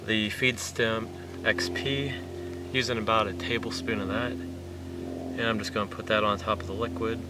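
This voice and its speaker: A man in his thirties casually demonstrating a fishing bait recipe step by step outdoors by a lake, talking plainly with fillers. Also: mid-range voice. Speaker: fishing vlogger. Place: outdoors on a lakeshore. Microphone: camera mic from a few meters away. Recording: distant outdoor camera audio with wind noise.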